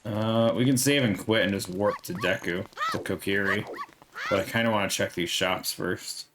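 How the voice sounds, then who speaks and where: A man's voice: on the low side, moderate pace, background noise. A man casually talking through his gameplay on stream, thinking aloud, swearing now and then, and answering his chat. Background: game music.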